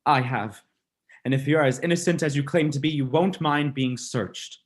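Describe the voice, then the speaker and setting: A student actor playing an earnest, protective, suspicious gentleman in a melodramatic vintage radio mystery. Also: mid-range voice, moderate pace, natural voice. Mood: angry, accusing.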